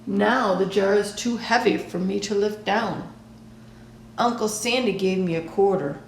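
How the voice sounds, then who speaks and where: Female storybook reader, mid-pitched, slow and deliberate, echoey room. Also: slight background noise. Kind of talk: expressive read-aloud of a children's picture book.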